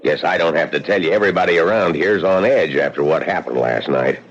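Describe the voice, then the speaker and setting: An actor playing a stern small-town sheriff giving warnings in an old radio drama. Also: male voice, a bass voice, fairly quick, slight background noise. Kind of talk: 1950s radio acting. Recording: dull old radio recording.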